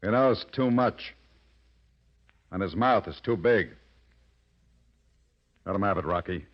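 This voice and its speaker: An actor in 1940s radio style playing a ruthless, blustering gangster boss, commanding and excitable. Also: male voice, low-pitched, slow pace, full character voice. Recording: muffled old radio drama recording.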